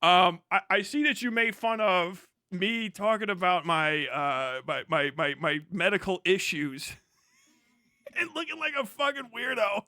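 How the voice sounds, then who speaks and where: A man's voice: high voice, lively intonation, unhurried, sharp tone, no echo, clean studio sound. A man giving casual, mocking live reaction commentary as a streamer, incredulous and heavily profane.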